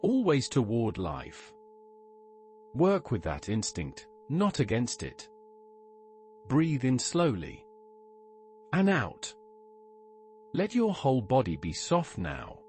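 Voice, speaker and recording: A man narrating a scripted guided meditation in a measured, instructive way, in short phrases with pauses. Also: medium pitch. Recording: steady ambient hum tone behind. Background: sustained ambient tone.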